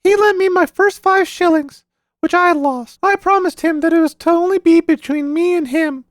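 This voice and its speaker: A man's voice: very high voice, steady tone, moderate pace, no room sound, voice pushed up. A man voicing an intense, secretive young boy who is obsessed with finding luck. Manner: fierce, insistent, secretive.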